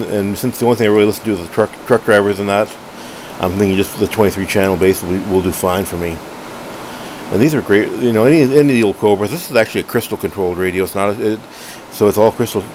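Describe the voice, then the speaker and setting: A middle-aged man with a fairly low voice gives a casual hobby demo. He talks in a halting, rambling way, often correcting himself. A clear background hum runs under his voice in a room at home. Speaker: hobbyist collector reviewing a radio. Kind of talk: casual unscripted product demo.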